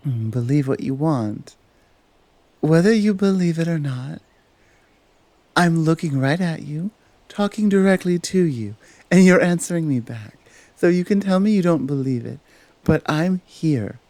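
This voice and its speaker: Woman acting a dominant valkyrie, ASMR roleplay, slow pace, lively pitch swings, halting, gently commanding. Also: no room sound, heavily acted. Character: dominant, dutiful valkyrie woman guiding fallen warriors. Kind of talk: ASMR roleplay acting, one-sided dialogue.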